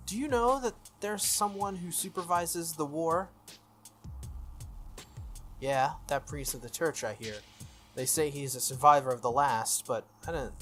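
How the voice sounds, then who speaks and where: Playthrough commentator, mid-pitched voice, casual read-aloud, slightly halting, background music. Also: fairly bright tone. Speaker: video game player reading aloud. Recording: music behind the voice. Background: background game music.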